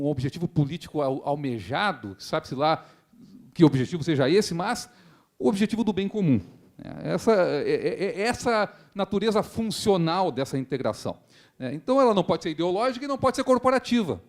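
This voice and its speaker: A man's voice: high voice, darkish tone. A man, a public prosecutor giving a formal seminar speech in an earnest, rhetorical way with frequent tag questions. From Rio Grande do Sul, Brazil.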